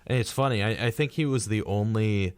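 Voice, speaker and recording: A man's voice: lowish voice, a little fast, clean tone, no echo. A man co-hosting a radio show in a casual, conversational style. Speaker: co-host of a retrospective radio show. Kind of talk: casual chat.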